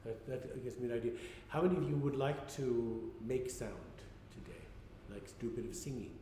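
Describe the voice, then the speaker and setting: A man's voice: medium pitch, normal speed, mellow. A man from Canada, a composer giving an informal talk to a group, rambling and correcting himself as he goes. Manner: rambling, self-correcting, conversational. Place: large echoey room with an audience. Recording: distant echoey hall recording, room noise.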